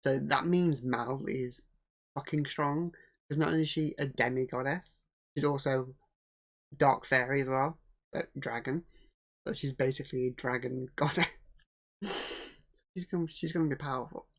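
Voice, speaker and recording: A man co-hosting a casual film review podcast, speaking quietly and often answering with short agreeing replies. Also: mid-range voice, fairly even intonation, moderate pace, pauses often. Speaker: podcast co-host.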